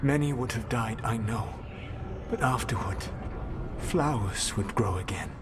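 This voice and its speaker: An actor playing a solemn, proud ancient elven mage in a video game, speaking in formal, measured, grave diction. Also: male voice, on the low side, lively intonation, big-room sound, background noise. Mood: solemn conviction. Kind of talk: dramatic video game dialogue.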